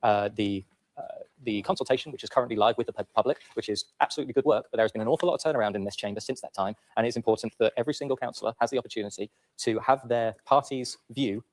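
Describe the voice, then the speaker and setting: A man speaking formally in a council chamber, halting with uhs. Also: mid-range voice.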